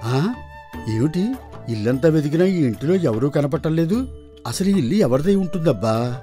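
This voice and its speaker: A man playing a kindly, anxious village farmer and father in an animated folktale, exclaiming and talking aloud to himself. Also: a little high, moderate pace, faint music behind, close to an everyday voice. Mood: puzzled. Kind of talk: dramatised animated folktale dialogue.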